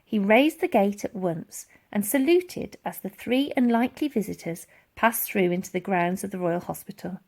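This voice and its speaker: A woman reading a children's storybook aloud as scripted, expressive narration. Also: mid-range voice, moderate pace, few pauses. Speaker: storybook reader.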